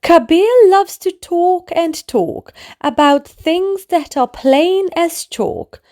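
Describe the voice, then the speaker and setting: A woman narrating a children's bedtime story in an expressive way, speaking to small children. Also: extremely high pitch. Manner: animated, slow, clearly addressed to small children. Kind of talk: expressive read-aloud bedtime story for toddlers.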